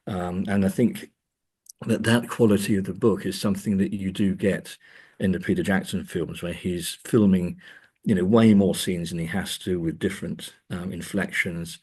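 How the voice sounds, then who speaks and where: A man's voice: low voice, fairly even intonation, moderate pace, a little mellow, husky. A man speaking as an academic guest in a discursive interview, hesitant and often repeating words as he thinks.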